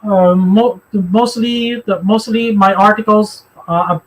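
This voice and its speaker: A man with a Filipino accent and a high-pitched voice leads a live religious discussion. He talks haltingly, circling back over his phrases as he explains and takes questions. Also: steady tone, poor audio. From Philippines. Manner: halting, repeats phrases as he goes.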